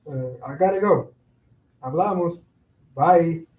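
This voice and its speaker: High, mellow-voiced young construction worker, played by a man. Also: slow pace. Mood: sheepish. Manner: hesitant, casual. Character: evasive, easygoing young construction worker, American-born. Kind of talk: staged play reading. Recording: roomy, echoing sound.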